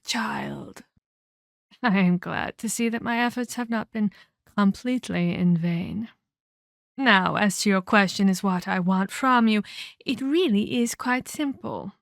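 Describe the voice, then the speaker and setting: A woman playing a condescending, sinister female vampire captor in an ASMR audio roleplay, speaking in a deliberate, theatrical, taunting way. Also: mid-range voice, expressive, creaky, no room sound, studio quality. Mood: coolly pleased.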